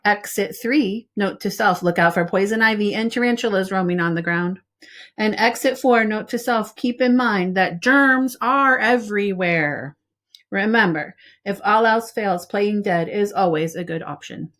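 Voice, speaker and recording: A woman reading a picture book aloud like a preschool teacher, expressive and speaking directly to young listeners with casual asides. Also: mid-range voice, a little slow.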